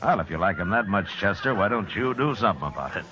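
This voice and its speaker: Low-voiced, commanding frontier marshal. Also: male voice, animated, quick pace, bright, forceful tone. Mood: amused, teasing. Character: calm, authoritative frontier marshal, a grown man. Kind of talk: old-time radio western acting. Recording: muffled old radio broadcast, music and crowd behind.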